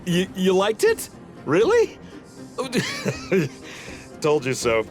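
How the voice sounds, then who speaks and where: A man's voice: high voice, wildly swooping pitch, extremely slow, heavily acted. A man playing a sly, playful rogue in a video game, with a theatrical, drawling, teasing delivery. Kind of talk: video game character acting. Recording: music behind the voice.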